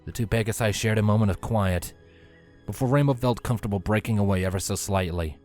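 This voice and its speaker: A man narrates a story in a fairly low voice. His delivery is scripted storybook reading, with light music playing behind him. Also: quick pace, a little mellow.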